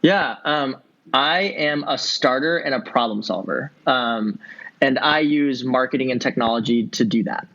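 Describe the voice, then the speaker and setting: A man in his thirties from Southern California, answering interview questions in a relaxed way, with plenty of ums and easy laughs. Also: mid-range voice, a little slow, highs cut off. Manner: relaxed, many ums and uhs, laughs easily. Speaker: podcast guest, entrepreneur.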